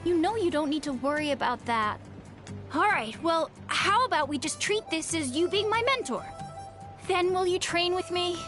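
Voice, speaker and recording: A woman voicing a pushy, eager young girl in a video game, insistent and excitable. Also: very high voice, normal speed, music in the background.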